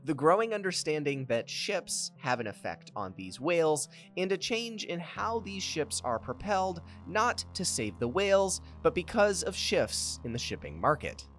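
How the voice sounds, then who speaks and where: A narrator reading a scripted explainer documentary with lively, sweeping intonation. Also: mid-range voice. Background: faint background music.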